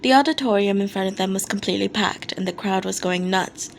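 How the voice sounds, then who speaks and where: A woman's voice: medium pitch, fairly quick, gravelly. A woman narrating a piece of fan fiction as an amateur reader. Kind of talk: scripted story narration. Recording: noticeable background noise.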